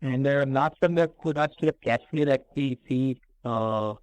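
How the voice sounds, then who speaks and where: A man's voice: mid-range voice, normal speed, no room sound, low-quality recording. A man asking formal questions as an analyst on a business conference call. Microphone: conference call line.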